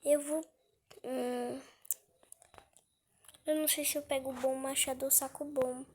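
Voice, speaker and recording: A woman's voice: high-pitched, flat delivery, unhurried, a little mellow, slight background noise. A woman with a cold, giving casual gameplay commentary; she is chatty and easily startled, and often drops to a whisper. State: has a cold. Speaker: gaming channel host.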